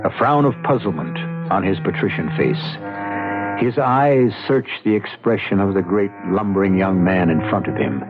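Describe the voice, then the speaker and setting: A man hosting and narrating a radio mystery in a theatrical, portentous old-time style with deliberate phrasing. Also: low voice, expressive, phone-quality sound. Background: music.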